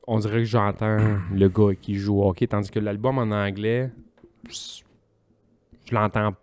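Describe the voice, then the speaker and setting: A man with a Québécois French accent, co-hosting a casual podcast chat in a relaxed, joking, self-mocking way. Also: lowish voice, moderate pace. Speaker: podcast co-host.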